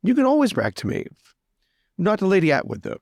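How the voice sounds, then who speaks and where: A man's voice: sits high, wildly swooping pitch, fairly quick, gravelly, darkish tone, no echo, studio quality, heavily acted. A man voicing a fussy, vain young aristocrat in tabletop roleplay, stammering, flustered and theatrically exasperated. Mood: coaxing.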